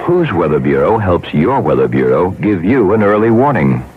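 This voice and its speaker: A man's voice: sits low, sing-song, moderate pace. A man reading a scripted public service radio advertisement as an announcer. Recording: dull old radio, faint noise.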